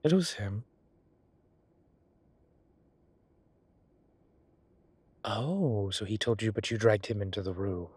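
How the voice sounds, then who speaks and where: A man playing an ancient, teasing godlike being with a soft romantic streak, in a theatrical, drawling and indulgent manner for a roleplay audio drama. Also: on the low side, expressive, moderate pace, husky, dry recording. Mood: gossipy, teasing.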